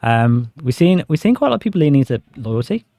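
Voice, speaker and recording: A man speaks very quickly in a soft-edged, mellow voice. He is a guest in an interview, keenly explaining how things work with simple comparisons. The sound is close and dry. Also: mid-range voice, very animated, no room sound. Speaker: interview guest, sales director. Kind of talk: conversational product explanation.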